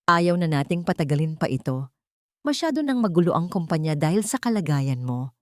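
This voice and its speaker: Smug businessman villain, played by a woman, impatient, condescending, audiobook drama, low, dry recording. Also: very animated, brisk, no room sound. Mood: impatient, cold. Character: smug, oppressive scheming businessman.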